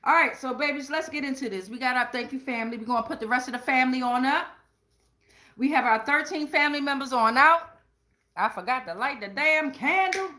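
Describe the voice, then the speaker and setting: A woman's voice: sits high, a little fast, sharp tone. A woman hosting a casual tarot livestream, talking straight to viewers in an emphatic, slangy, profane way and often checking in with tag questions. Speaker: tarot reader and livestream host.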